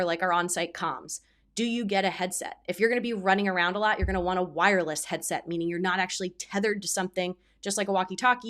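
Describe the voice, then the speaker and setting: A woman hosts a casual explainer video as an experienced event producer. Her delivery is conversational and practical, walking through options and slipping in little spoken examples as she goes. Her voice sits at a medium pitch for a woman. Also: a bit bright. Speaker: event producer giving advice as video host. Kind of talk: casual explainer talk to camera.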